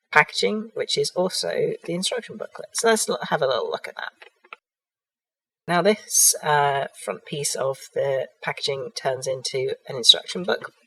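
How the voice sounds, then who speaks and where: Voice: medium pitch, fairly bright tone, rough recording. A miniatures hobbyist who has a cold. They narrate a product review hesitantly, with frequent ums and restarts.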